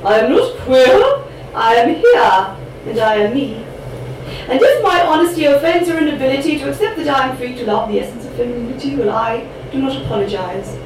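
A woman's voice: high-pitched, lively intonation, a little fast. A young Irish woman from Cork performing her spoken word poems with a rhythmic, dramatic delivery and chatty asides between pieces. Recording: echoey room picked up from a distance, background noise.

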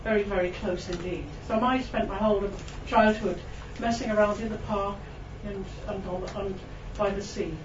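A Welsh woman poet giving a reading to an audience, chatting between poems with ums and little laughs, then reading her verse slowly. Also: medium pitch, fairly even intonation. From Wales. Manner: chatty and self-mocking, with frequent ums and laughs; slow, lingering delivery when reading verse. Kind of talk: poetry reading with chatty asides. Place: room with a live audience. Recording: distant, roomy, dull recording with background noise.

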